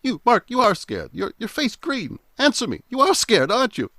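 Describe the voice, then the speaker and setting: A man's voice: extremely high pitch, wildly swooping pitch, normal speed, pushed and bright, dry recording, full character voice. Casting: man as cowardly radio-play blusterer, accusatory and stammering, big swoops in inflection, dry close sound, melodramatic terror. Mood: shaken, defensive. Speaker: voice actor. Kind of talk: 1940s radio drama acting.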